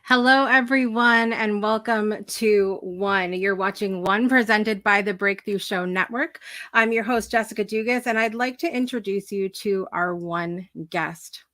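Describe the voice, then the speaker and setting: A woman's voice: mid-range voice, measured pace, a bit bright. A woman presenting as a show host, delivering scripted introductions and interview questions.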